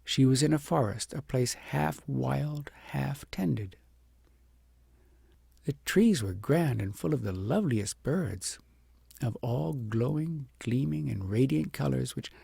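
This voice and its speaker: A man narrates a storybook in a very gravelly, raspy voice. He phrases deliberately and leans on words for dramatic effect. The sound is dry, with little room in it. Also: medium pitch, mellow, dry recording. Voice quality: gravelly, husky. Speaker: audiobook narrator. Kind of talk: expressive scripted storybook narration.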